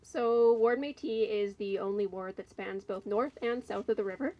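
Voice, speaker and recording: A woman in her thirties, a council candidate, giving a measured, polished speech with some fillers into a mic in an outdoor tent. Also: medium pitch, mellow, highs cut off. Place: outdoor tent at a community forum. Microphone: mic on a stand.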